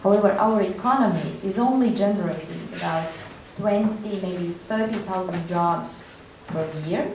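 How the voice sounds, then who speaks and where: A woman's voice: mid-range voice, normal speed, hardly any pauses, darkish tone. Woman panelist in a very echoey room. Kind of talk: explanatory panel discussion speech. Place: indoor panel discussion room. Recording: distant echoey room, faint noise.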